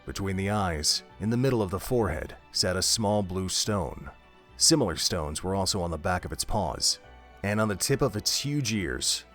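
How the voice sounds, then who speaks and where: Low-pitched male story narrator. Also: lively intonation, normal speed, a bit bright, faint music behind. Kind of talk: scripted audio drama narration.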